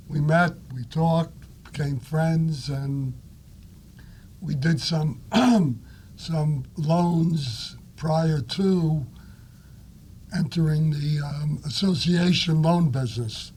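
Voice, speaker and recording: An older man with a New York accent who speaks haltingly, with many fillers. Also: on the high side, a little mellow, a little hiss or hum. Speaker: guest, lender. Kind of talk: interview answers.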